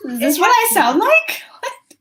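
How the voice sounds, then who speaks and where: A woman from New York hosting a casual podcast chat, lively and quick to laugh, asking the others questions. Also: extremely high pitch, a loud crowd.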